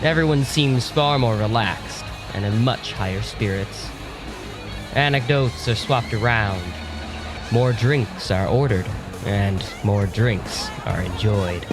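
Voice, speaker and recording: A man's voice: on the low side, slow pace, low-quality recording. Male audio drama narrator over music.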